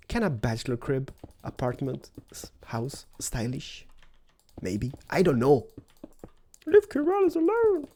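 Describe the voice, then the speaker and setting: Casual male build host with a cold, lively swooping voice, mellow tone, playful self-mocking chatter. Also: medium pitch. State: has a cold.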